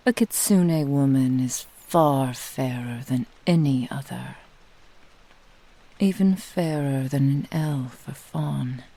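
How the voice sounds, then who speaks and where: Woman acting a slow, breathy, seductive fox witch. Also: low-pitched, warm tone, full character voice, poor audio. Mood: proud, vain. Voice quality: breathy, whispery. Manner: slow, lingering, possessive. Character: vain possessive seductive female nine-tailed kitsune witch. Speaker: roleplay audio voice actor. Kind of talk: ASMR roleplay, intimate scripted monologue.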